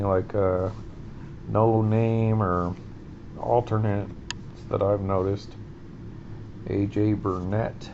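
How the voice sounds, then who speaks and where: A man's voice: lowish voice, gravelly, noisy background. A chatty man hosting a card-opening video, talking to viewers with a stuffy nose. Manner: chatty, addresses viewers as folks. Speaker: card-opening vlog host. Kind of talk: casual chat. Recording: dull lo-fi recording.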